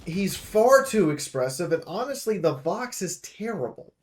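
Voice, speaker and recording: A male YouTube host with a somewhat high-pitched voice talks through a game preview. He is casual and opinionated, stressing his points emphatically as he rambles and swears. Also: sing-song, moderate pace, a little hiss or hum.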